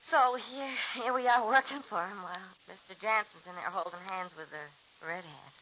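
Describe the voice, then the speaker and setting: A woman's voice: medium pitch, fairly quick, lightly acted. An actress playing a tipsy, sly nightclub woman in a 1940s radio drama, teasing and wheedling with giggles. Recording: dull old radio transcription with faint hiss.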